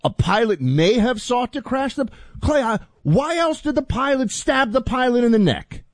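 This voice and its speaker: Male talk radio host, dry studio sound, gets heated and indignant fast. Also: lively intonation, no room sound. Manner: heated, indignant, voice climbing high when outraged.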